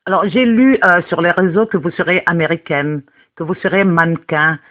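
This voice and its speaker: A man's voice: high-pitched, moderate pace, noisy background. A male interviewer asking probing questions with some fillers. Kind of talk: journalistic questioning. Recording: tinny phone line.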